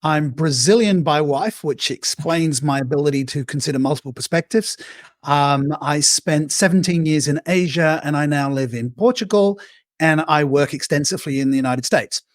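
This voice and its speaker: Middle-aged man, English-born with an Australian accent, fairly high voice, dry close mic, animated storyteller voicing quotes, video-call guest. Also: normal speed, dry recording. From England. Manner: animated storyteller, acts out quoted inner dialogue. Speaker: guest speaker on self-leadership. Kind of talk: conversational interview answers. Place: bright room with arched windows, indoors.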